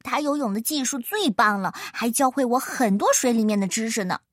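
A woman voicing a chatty, proud little penguin in a children's story, in a brisk, matter-of-fact way. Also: on the high side, animated, dry recording, studio quality.

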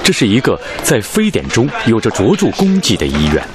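Guy narrating radio drama, solemn n declamatory, roomy echo, loud music behind. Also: on the low side, huge pitch swings, a little fast, a loud crowd. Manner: formal, declamatory. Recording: very echoey, with prominent music bed.

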